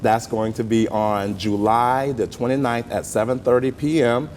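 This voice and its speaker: A man's voice: fairly even intonation, normal speed, a little mellow. A middle-aged man with a Southern US accent, chatting earnestly and with some joking as a guest in a casual interview. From southern United States, rural. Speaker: interview guest, stage actor and comedian. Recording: long reverberant hall, faint rumbling noise.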